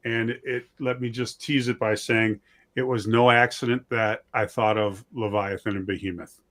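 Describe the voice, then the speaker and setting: A man's voice: on the low side, level tone, moderate pace, fairly bright tone. A middle-aged man chatting casually as an interview guest, with folksy, self-deprecating phrasing. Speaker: interview guest, audio drama writer. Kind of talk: casual chat.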